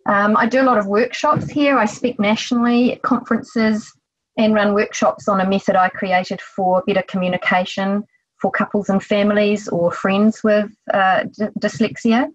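A woman's voice: medium pitch, steady tone, normal speed, no room sound, lo-fi sound. A middle-aged woman with a New Zealand accent, a therapist answering interview questions conversationally.

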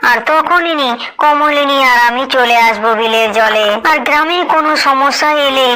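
Woman as bright high-voiced lotus queen. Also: moderate pace, low-quality recording. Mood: solemn instructing. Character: gentle, solemn lotus queen, magical mother spirit. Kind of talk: Bengali cartoon fairy-tale voice acting.

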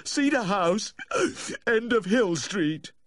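A little man in his sixties, drunk and distraught, in a storybook. The voice is pitched very high, wailing and breaking with sobs, and it swoops as he pleads. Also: expressive, slow pace, no room sound, clean studio sound. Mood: sobbing, frantic. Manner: frantic pleading, rustic British dialect wording. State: sobbing, gasping.

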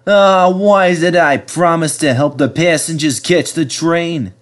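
Man putting on an excitable high-pitched talking bus. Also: unhurried, lightly acted. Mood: groaning. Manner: exclamatory, wailing and groaning. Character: excitable, determined, grumbling young male bus.

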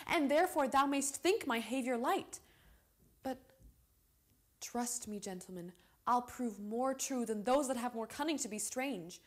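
A young woman performing a bashful, lovestruck young noblewoman in a classical Shakespearean stage monologue, with deliberate pauses. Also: fairly high pitch. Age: young adult. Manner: deliberate, measured verse delivery with dramatic pauses.

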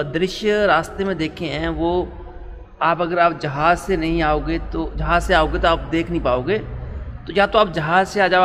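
A man's voice: a little high, fairly bright tone, in a large hall. A man in his thirties chatting casually to viewers in a travel vlog, recorded on a phone in a station waiting area. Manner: chatty, addresses viewers as friends. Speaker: travel vlogger.

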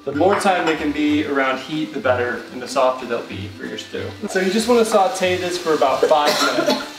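A man's voice: on the high side, lively intonation, a little fast. A man in his thirties co-hosting a cooking show, easygoing and jokey as he walks through a recipe in a home kitchen. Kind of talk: recipe demonstration and chat. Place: home kitchen set, indoors. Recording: roomy, distant sound with background music.

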